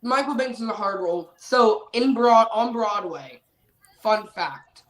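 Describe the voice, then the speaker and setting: Woman cohost chatting, trails off and fixes herself mid-sentence, mid pitch. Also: animated, unhurried, echoey room, poor audio. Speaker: co-host. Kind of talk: casual chat.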